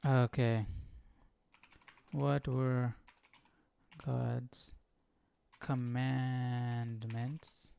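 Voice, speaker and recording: A man's voice: mid-range voice, level tone, drawn-out words, vocal fry, long pauses, warm tone, smooth. A man hosting a live Bible study, informal and curious, thinking aloud as he chats and reads. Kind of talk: casual livestream chat and reading aloud.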